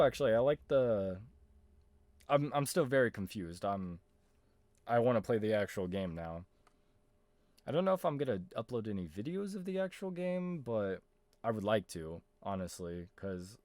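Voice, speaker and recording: A man's voice: lowish voice, darkish tone, dry recording. A man giving casual, rambling gaming commentary in slangy, informal speech. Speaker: gaming video host.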